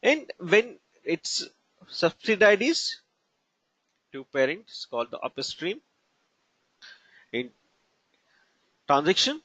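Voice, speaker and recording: A man with an Indian accent teaching as a trainer, explaining examples haltingly in short, broken phrases. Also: sits high, very animated, sharp tone, no room sound. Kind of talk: instructional lecture with examples. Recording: dull lo-fi narration, dry.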